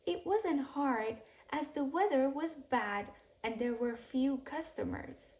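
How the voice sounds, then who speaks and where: High-voiced woman reading a children's story slowly. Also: animated, darkish tone. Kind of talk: expressive read-aloud bedtime story narration.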